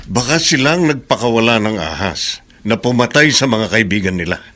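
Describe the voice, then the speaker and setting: A man's voice: medium pitch, expressive, moderate pace, fairly bright tone, natural voice. A man playing a suspicious, commanding police chief in a horror radio drama, firm and authoritative.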